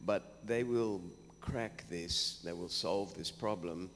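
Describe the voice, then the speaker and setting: Low-voiced male questioner, formal and wordy, in a hall with a faint hum. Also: takes it slowly. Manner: formal, long-winded, with fillers. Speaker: audience questioner.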